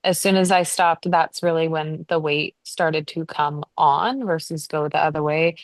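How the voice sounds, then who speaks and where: Low-voiced woman in her forties. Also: no echo. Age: 40s. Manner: matter-of-fact, explains in detail. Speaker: podcast co-host. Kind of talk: casual chat.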